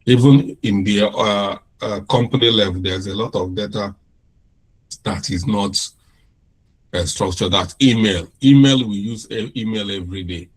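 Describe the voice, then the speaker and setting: A man with a Nigerian accent giving an informal classroom lecture, unhurried, often repeating and restarting his phrases. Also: lowish voice, husky, low-quality recording.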